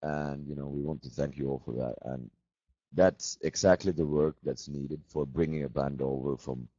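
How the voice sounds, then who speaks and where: Guy, husky and super deep, dry jokes, dull video call sound. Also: no room sound. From Finland. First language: Swedish. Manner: calm, measured, dry humor. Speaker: band member answering fan questions. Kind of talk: casual video chat with fans. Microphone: laptop video call mic.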